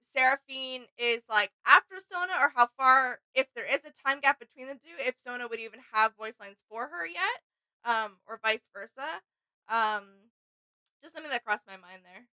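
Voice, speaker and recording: A woman with a high, bright voice, recorded dry and close. She talks casually as a co-host, agreeable and a little tentative as she offers her thoughts. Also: normal speed, clear, resonant tone, no echo, rough recording. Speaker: reaction video co-host. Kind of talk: casual opinion chat with co-host.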